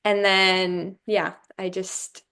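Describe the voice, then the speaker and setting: A young woman from Brazil reflects on her studies and work in a casual interview. Her voice is smooth and clear, set at a middle pitch. She talks thoughtfully, pausing and filling gaps with "um" and "you know.". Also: little pitch movement, sharp tone. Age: young adult. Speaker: alumna guest.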